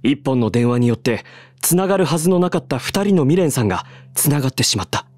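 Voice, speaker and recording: An actor playing a calm, deadpan male bartender who cracks absurd jokes and explains theories at length, in a voice drama. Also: mid-range voice, fast talker, no echo, natural voice. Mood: dry. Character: calm deadpan male bar master, joking.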